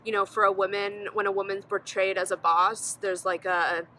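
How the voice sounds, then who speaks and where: A woman's voice: medium pitch, little pitch movement, moderate pace, pushed and bright, a little hiss or hum. A young woman from Connecticut, an art student, giving thoughtful, hedged interview answers. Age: young adult. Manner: thoughtful, hedging with "I think".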